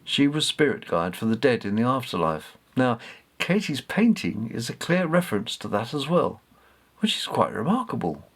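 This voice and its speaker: A man voicing a learned, modest old man in a storybook, explaining things in a measured way. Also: mid-range voice, sing-song, fairly bright tone, husky, exaggerated voice. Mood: thoughtful, explanatory.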